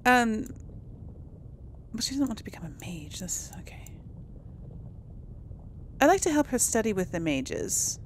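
A woman's voice: on the high side, sing-song, a little slow, pauses often, noisy background. A woman hosting a casual let's play, chatting and thinking aloud as she plays. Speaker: gaming channel host. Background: game music.

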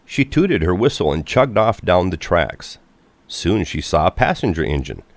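A man narrating a children's storybook from a script in an expressive, clearly paced manner. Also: sits low, normal speed, no room sound.

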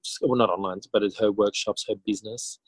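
A man answering as an interview guest in a casual, halting way, thinking aloud as he goes. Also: lowish voice, fairly even intonation, husky, no room sound, low-quality recording. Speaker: interview guest, author and educator.

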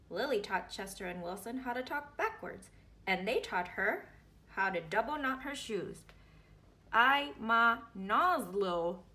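Lady reading kids picture book out loud, high bright voice, really animated. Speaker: read-aloud storyteller.

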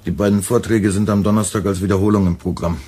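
An actor playing a young radio editor in a radio play, cool and laconic. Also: male voice, on the low side, steady tone, quick pace, dark tone, slightly rough. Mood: cool, matter-of-fact.

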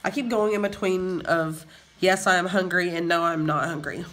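A man's voice: sits high, fairly even intonation, normal speed, a bit bright, a little hiss or hum. A young man with a sinus infection, chatting and joking drily at home into a phone camera. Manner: dry joking, playful. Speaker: vlogger's partner. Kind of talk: casual banter. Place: home, indoors.

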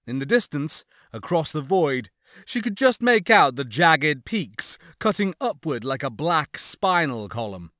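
A man narrating a horror science fiction audiobook in a measured, expressive, suspenseful way. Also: fairly high pitch, no room sound, studio quality. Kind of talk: dramatic scripted narration of a horror sci-fi novel.

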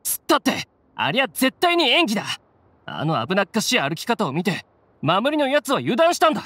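An actor playing a defiant, rough-talking low-ranking bandit in a video game. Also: male voice, wildly swooping pitch, a little fast, sharp tone, heavily acted. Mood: grudging. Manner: rough, coarse, blustering speech. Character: defiant low-ranking bandit gang grunt, adult man. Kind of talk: Japanese game voice acting.

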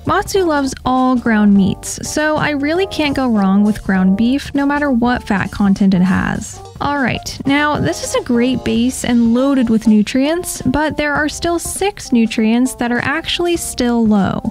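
Woman, high-pitched voice, scripted tutorial narration, pet channel host, faint music behind. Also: expressive, unhurried, hardly any pauses, darkish tone. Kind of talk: scripted how-to voice-over narration. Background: background music.

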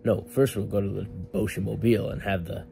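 Young male fitness vlogger, low-ish voice, loose and jokey, talks in stops and starts. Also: little pitch movement, fairly quick, gravelly, dark tone, soft background music. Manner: rambling, filler-heavy, self-correcting; laid-back, jokey. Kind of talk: casual vlog talk to camera.

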